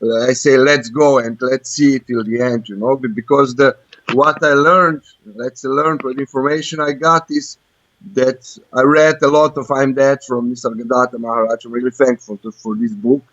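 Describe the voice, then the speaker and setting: A man's voice: medium pitch, steady tone, normal speed, fairly bright tone, no room sound. A man with a Croatian accent, a caller talking casually and hesitantly, groping for words. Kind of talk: casual, personal conversation. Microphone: internet voice call. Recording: dull lo-fi internet call.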